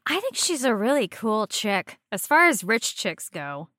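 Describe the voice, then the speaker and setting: Blunt, bright-voiced woman podcast co-host. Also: on the high side, sing-song, measured pace, dry recording, studio quality. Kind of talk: casual comedic podcast chat about a video game.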